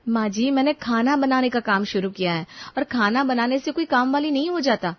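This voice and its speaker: A woman's voice: high voice, brisk, muffled recording, pitched above the performer's own voice, natural voice. A woman voicing an earnest, determined young daughter-in-law who reasons politely but firmly in a story. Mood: earnest, defensive.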